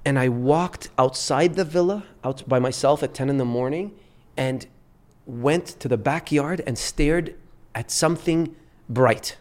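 A man's voice: medium pitch, measured pace, a little mellow. A man from Lebanon who grew up in Dubai, a podcast guest telling stories in a rambling, restarting way. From Lebanon, raised in Dubai. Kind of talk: casual storytelling chat.

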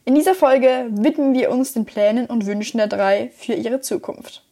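Brisk female host with a mellow voice. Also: fairly high pitch. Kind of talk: scripted introduction.

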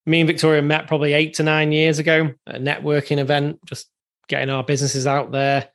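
Man hosting, slightly high voice. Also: monotone, a bit bright. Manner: friendly, halting with restarts. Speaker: podcast host. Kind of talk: interview hosting.

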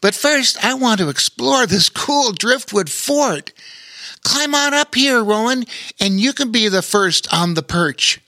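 An adventurous young boy, friendly and eager to explore, as a male reader voices him. The voice is pushed high and bright, with lively rises. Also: measured pace, no room sound, heavily acted.

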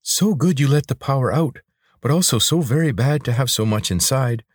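A man's voice: mid-range voice, lively intonation, normal speed, husky, dry recording, clean studio sound, pitched above the performer's own voice. A man voicing a small, loyal, fretful lizard creature in an audiobook, with formal, deferential phrasing. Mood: anxious warning. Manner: formal, deferential phrasing addressing a master as sir. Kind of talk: audiobook character voice.

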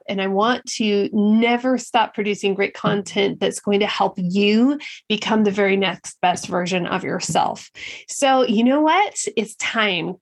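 A woman hosting a solo motivational podcast, talking earnestly and directly to her listeners, slightly nasal from a head cold. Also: mid-range voice. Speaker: podcast host.

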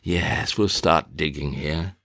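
A man voicing a gruff, blustering older country squire who chuckles to himself, in a one-man reading of a stage play. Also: extremely low pitch, slow pace, fairly bright tone, no echo, clean studio sound, voice pushed down, full character voice.

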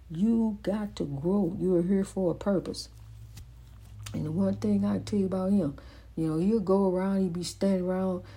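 A woman's voice: lowish voice, dark tone, husky. A woman hosting her own motivational program, giving a rambling, faith-filled monologue that repeats phrases for emphasis, recorded indoors. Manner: rambling, with many fillers and restarts. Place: indoors at home.

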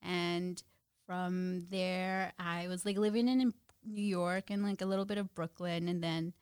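A woman's voice: fairly low pitch, steady tone, a little slow, a little mellow, clear, resonant tone, dry recording. Bubbly young woman guest, laughing often. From Guyana. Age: 20s. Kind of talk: casual interview chat.

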